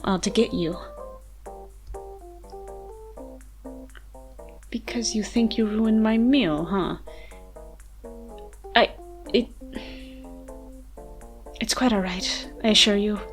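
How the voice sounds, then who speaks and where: A woman playing a haughty, sardonic ancient vampire with a soft side, speaking in wordy mock-formal lines in a dramatic ASMR roleplay. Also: mid-range voice, close to an everyday voice. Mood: flustered. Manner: theatrical, wordy, dry mock-formal speech. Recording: music behind the voice. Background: music.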